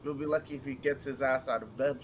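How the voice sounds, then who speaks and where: A man's voice: mid-range voice, steady tone, fast talker. A man co-hosting a livestream, chatting casually and reading character lines aloud. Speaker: co-streamer. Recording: faint background noise.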